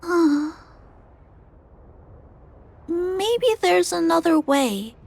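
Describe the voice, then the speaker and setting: A very high, childlike girl's voice for a video game. She is gentle, polite and earnest, choosing words thoughtfully with quiet determination. Soft music sits faintly behind her. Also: expressive, drawn-out words, vocal fry, heavily acted. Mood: earnest, hopeful. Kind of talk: scripted video game voice acting.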